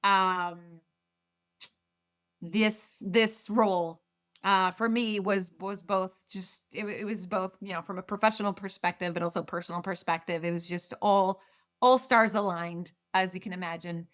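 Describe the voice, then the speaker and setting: A woman's voice: mid-range voice, steady tone, unhurried, a bit bright. A middle-aged woman from Argentina, a marketing executive being interviewed, speaking casually with frequent fillers, repeats and restarts. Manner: hesitant, many restarts and fillers.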